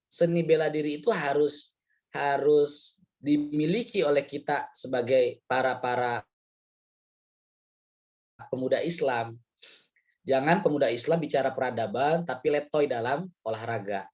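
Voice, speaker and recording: Hoarse man giving a chatty lecture. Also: mid-range voice. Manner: chatty, rambling, frequent "ya" fillers. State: hoarse from a cold. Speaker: Islamic study speaker. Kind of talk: casual religious lecture.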